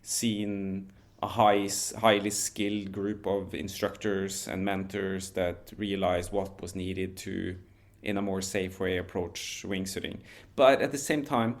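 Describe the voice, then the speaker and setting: A man, telling a story as a podcast guest in a relaxed, measured way with frequent restarts. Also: deep voice. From Norway. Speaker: guest, wingsuit pilot.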